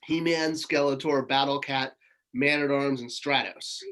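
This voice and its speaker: A man's voice: medium pitch, fairly even intonation, a little slow, fairly bright tone, low-quality recording. A middle-aged man from Connecticut, a toy marketer, talking casually as an interview guest on a video call from a home room full of toys, rambling with lots of fillers and restarts. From Connecticut, USA.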